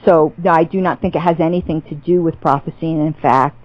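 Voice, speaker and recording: Woman, lowish voice, restarts a lot while she thinks, dry muffled podcast audio. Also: steady tone, fairly quick, no room sound. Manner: thoughtful, many restarts and fillers, laughs easily. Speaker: podcast guest. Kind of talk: casual theological interview.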